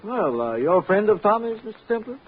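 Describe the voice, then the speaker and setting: An actor playing a falsely genial nightclub boss with courteous, affable menace, in an old-time radio crime drama. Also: male voice, high voice, very animated, fairly quick. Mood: veiled menace. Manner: affable menace, hesitant courtesy, echoes others' words. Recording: tinny old radio broadcast, noisy.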